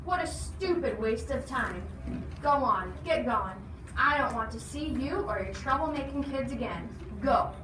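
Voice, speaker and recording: A woman's voice: high-pitched, animated, unhurried. A woman voicing a menacing, sneering policeman who talks down to people with threats. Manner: threatening, condescending. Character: menacing policeman, sneering, softly bullying a young boy. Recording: noisy echoey room, distant mic.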